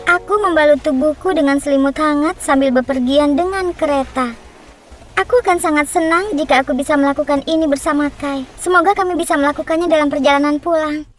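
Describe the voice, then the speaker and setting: Woman voicing high-pitched earnest young girl heroine. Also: fairly quick, faint music behind, close to an everyday voice. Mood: hopeful, affectionate. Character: Gerda, brave tender-hearted young girl heroine. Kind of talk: children's cartoon fairy tale dub.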